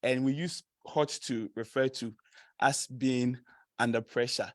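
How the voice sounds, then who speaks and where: A man's voice: mid-range voice, fairly even intonation, a little slow, no echo, studio quality. A young man from Ghana with a Ghanaian accent, giving an informal student presentation to an audience, chatty, laughing often and using many fillers. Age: young adult.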